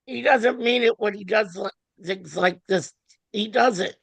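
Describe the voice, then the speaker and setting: A woman's voice: medium pitch, unhurried, creaky, dry recording. A woman at a table read playing a free-spirited young hippie woman, tender but evasive. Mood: apologetic.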